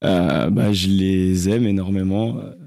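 A young man from France, a singer, answering interview questions in a hesitant, halting way with many restarts. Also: low-pitched, fairly even intonation, creaky, mellow, dry recording, studio quality.

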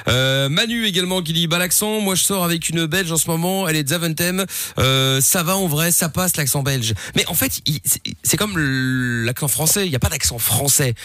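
A man hosting a live evening call-in radio show, chatty and quick, with a sing-song promo delivery. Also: fairly high pitch, fairly bright tone, dry recording. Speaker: radio host. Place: radio studio. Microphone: studio microphone.

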